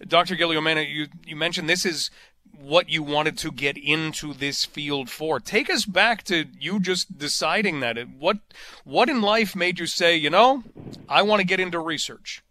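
A man's voice: a little high, normal speed, bright, forceful tone. A man hosting a radio interview, asking earnest, leading questions. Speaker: radio host.

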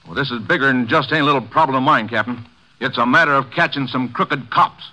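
A man's voice: mid-range voice, fast talker, sharp tone, a little hiss or hum, low-quality recording. An actor in a 1950s radio drama playing an indignant, folksy working man who blusters in plain rustic grammar, on an old radio recording. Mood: earnest, troubled.

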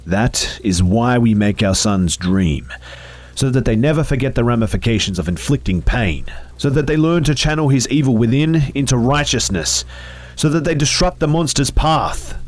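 A man voicing a faceless, vengeful static phantom with a buzzing, hissing voice and a slow, solemn, menacing delivery. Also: medium pitch, expressive, voice pushed up, close to an everyday voice.

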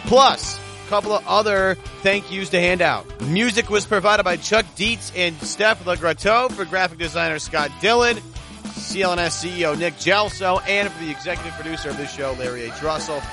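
Bright, higher-voiced man hosting a sports podcast. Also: normal speed, soft background music.

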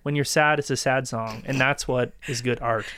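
A man's voice: mid-range voice, steady tone, normal speed, fairly bright tone, smooth. A young Canadian man chatting casually and telling stories in a rambling, colloquial way. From Ontario, Canada. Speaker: podcast guest and co-worker of the host. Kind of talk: casual chat, storytelling.

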